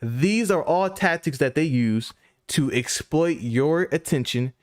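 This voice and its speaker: A man's voice: fairly high pitch, lively intonation, a little slow, no echo. Blunt, lecturing man on a dry close mic. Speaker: reaction commentator. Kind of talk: commentary over interview clips.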